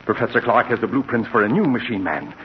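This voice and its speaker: An actor playing an earnest, persuasive scientist's assistant in a 1940s radio drama, formal and insistent. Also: male voice, mid-range voice, fast, slightly rough. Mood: urgent, persuasive. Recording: muffled, noisy 1940s radio recording.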